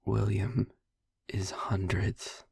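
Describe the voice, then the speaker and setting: Man playing a hushed, breathy vampire boyfriend. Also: on the low side, level tone, dry recording, in a whisper, clean studio sound, full character voice. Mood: quietly explaining. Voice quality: breathy, husky. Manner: hushed, halting, with long pauses. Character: young male vampire boyfriend, tender, haunted, wry. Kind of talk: intimate whispered audio roleplay monologue.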